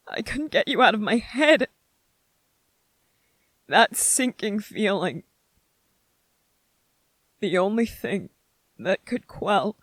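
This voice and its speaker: Someone acting a gentle young soldier guy grieving, asmr roleplay, real slow and halting, choked up, dry close sound. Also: medium pitch, no room sound, poor audio. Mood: yearning, sorrowful. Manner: halting, emotional, earnest, short broken phrases. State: emotional, close to tears. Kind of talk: ASMR roleplay, intimate emotional monologue to listener.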